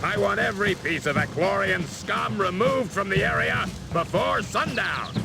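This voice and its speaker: A stern army commander in a fantasy game. He speaks with demanding authority in a fairly high, creaky voice that moves emphatically, over clear music. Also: expressive, moderate pace. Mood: commanding. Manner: authoritative, clipped orders. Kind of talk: dramatic fantasy game voice acting.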